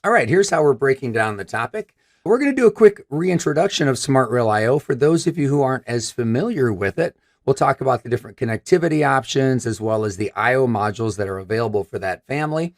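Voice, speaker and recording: A man's voice: mid-range voice, expressive, quick pace. A man in his fifties from Michigan with a scratchy voice, hosting a technical live stream in an upbeat, chatty way. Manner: upbeat, chatty, gestures while talking. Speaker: host. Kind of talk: live stream product presentation. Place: room with a brick wall backdrop.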